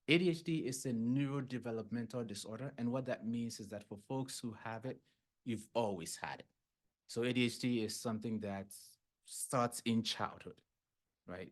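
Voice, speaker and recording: A man from Ghana whose first language is Fante, a clinical psychologist hosting a podcast and explaining things in a casual way, often checking in with "right?" and "yeah?". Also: on the low side.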